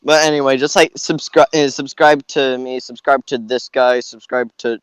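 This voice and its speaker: A man talks casually as a podcast guest, halting and repeating his words. His voice is clear and smooth, and the recording is dry. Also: mid-range voice, steady tone, no echo. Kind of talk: casual chat.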